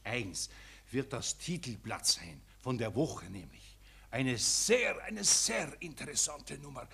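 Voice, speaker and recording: Fawning, husky foreign press photographer. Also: male voice, mid-range voice, expressive, unhurried, bright, forceful tone, full character voice. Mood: eager, oily. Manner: obsequious, repetitive, fussily directing a pose. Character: fawning, pushy male press photographer with broken German. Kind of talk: 1940s German radio play acting.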